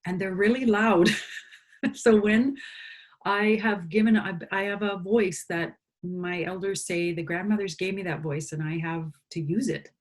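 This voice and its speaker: A woman's voice: medium pitch, fairly even intonation, unhurried. A woman from Canada, a singer-songwriter and interview guest, speaking earnestly and with conviction. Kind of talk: reflective conversation.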